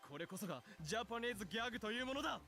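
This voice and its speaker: A man's voice: high-pitched, expressive, very fast, pushed and bright, soft background music, heavily acted. A male actor playing a pompous, bossy young man in an anime visual novel, declaiming haughtily. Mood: smug.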